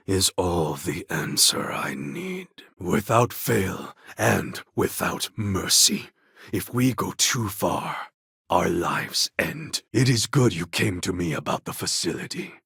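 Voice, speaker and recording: A man's voice: on the low side, a bit bright, no echo, studio quality, exaggerated voice. An actor playing a proud, menacing male warlord in a video game, speaking in a grave, formal and threatening way. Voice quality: gravelly, husky.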